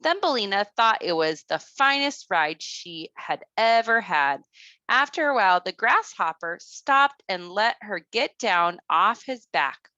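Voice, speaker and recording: A woman storyteller narrating a children's tale, expressive and careful in her read-aloud delivery. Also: mid-range voice, measured pace, dry recording, dull audio.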